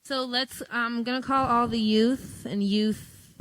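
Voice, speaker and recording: A woman's voice: fairly high pitch, steady tone, slow, a bit bright, smooth, no room sound, low-quality recording. A woman leading a youth session, casually directing a crowd at a conference. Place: large conference hall.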